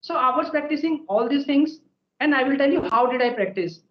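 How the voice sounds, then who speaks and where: A man's voice: extremely high pitch, a little fast, reverberant, dull audio, a little hiss or hum. A young man with an Indian accent, native Hindi speaker, explaining step by step in casual talk and tagging his sentences with "okay" and "right". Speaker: guest English learner sharing tips. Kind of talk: conversational advice.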